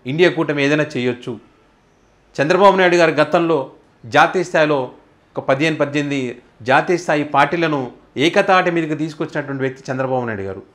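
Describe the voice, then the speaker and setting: A man's voice: a little high, fast, long pauses, fairly bright tone. A man with a Telugu accent presenting political news commentary in an explanatory way, posing rhetorical questions as he goes.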